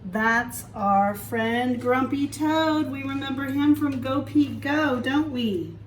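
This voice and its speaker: A woman storytime teacher reading aloud to preschoolers, expressive, with questions for the young listeners. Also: high voice, slow pace, reverberant, distant mic, a little hiss or hum.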